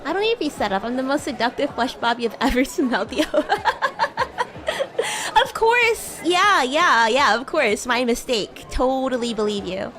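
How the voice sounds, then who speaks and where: Woman as young female demon student: bratty, high, very animated, improvised stream roleplay over music. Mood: teasing. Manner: teasing, giggly, animated, halting and questioning. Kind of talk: improvised in-character livestream roleplay chat. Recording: music and crowd murmur behind. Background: music and crowd ambience.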